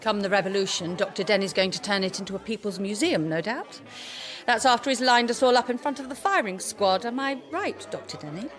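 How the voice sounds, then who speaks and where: An actress playing a flirtatious, bored upper-class wife in a period radio drama, teasing and sardonic. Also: female voice, mid-range voice, fairly quick, a bit bright, natural voice. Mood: playful, mocking. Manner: arch, teasing. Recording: music behind the voice.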